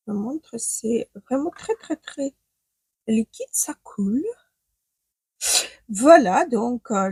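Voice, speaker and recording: Ukrainian-accented woman vlogger, unhurried and a little hesitant, dry close sound. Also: a little high, a little mellow, husky, no room sound. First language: not French. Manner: chatty, hesitant, thinking aloud while applying makeup. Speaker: beauty vlogger. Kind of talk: makeup tutorial, casual talk to camera. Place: home room with daylight.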